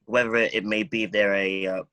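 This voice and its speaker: A man speaking as a songwriter guest in an interview, reflective and halting, often repeating words as he thinks. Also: on the low side, flat delivery, pushed and bright, no echo.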